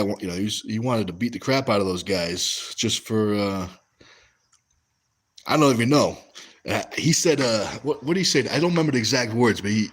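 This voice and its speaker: A man's voice: lowish voice, slightly rough. A young American man hosting a casual livestream, reading chat and rambling through stories with lots of fillers and restarts. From West Coast of the US.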